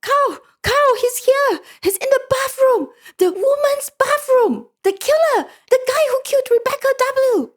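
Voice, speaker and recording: Brief: a shrill, pampered talking cat, very high, dramatic and prim. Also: female voice, expressive, unhurried, few pauses. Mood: alarmed.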